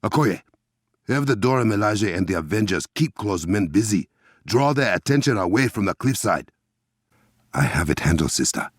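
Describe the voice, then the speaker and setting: An actor playing a regal, commanding warrior king in a video game, with an African accent, measured formal diction and a gravelly, husky voice. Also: on the low side, sing-song, dry recording, clean studio sound. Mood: commanding, resolute. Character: regal, commanding warrior king, stern and proud, adult man.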